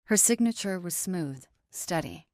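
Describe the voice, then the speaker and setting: A woman with a lowish voice narrates a dramatic story. Her delivery is measured and emotive, captured close and dry with no room sound. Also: lively intonation, darkish tone, no echo.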